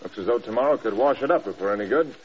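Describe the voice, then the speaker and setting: A man's voice: mid-range voice, animated, very fast, close to an everyday voice. An American man playing a driven, terse air force general in an old radio drama. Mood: weary, terse. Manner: terse, commanding. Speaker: lead actor. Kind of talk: mid-century radio drama acting. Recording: muffled old radio broadcast, loud aircraft noise.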